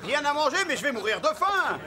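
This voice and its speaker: A man's voice: very high-pitched, sing-song, brisk. A man voicing a blustering, gluttonous, haughty cartoon traveller who complains in a pompous, exaggerated way. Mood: famished, outraged. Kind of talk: French cartoon voice acting. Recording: music behind the voice.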